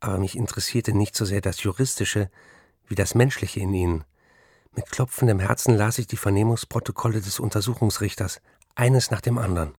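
A thoughtful writer looking back on his boyhood in a radio play. The voice is fairly low and recorded close and dry, the phrasing measured and literary. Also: darkish tone, slightly rough, dry recording. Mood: reflective. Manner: measured, literary first-person recollection. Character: reflective male writer recalling his bookish childhood.

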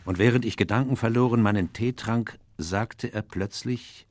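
An actor playing a reflective, loyal elderly doctor narrating his memoirs in a measured, literary way in a radio play. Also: lowish voice, a little mellow, natural voice. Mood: calm storytelling. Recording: music under the voice.

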